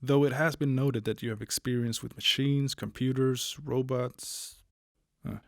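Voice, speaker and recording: A man's voice: medium pitch, steady tone, measured pace, dry recording, clean studio sound, close to an everyday voice. A man playing a petty, officious military major in an audio drama, hesitant and bureaucratic as he gives orders. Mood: brisk, awkward. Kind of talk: post-apocalyptic audio drama acting.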